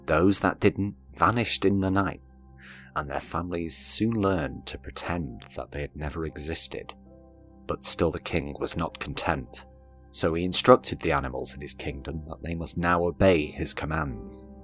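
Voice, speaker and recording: A man narrating a scripted fairy tale in a measured, deliberate way, as a storyteller. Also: rumbling low, fairly bright tone. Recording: narration over background music.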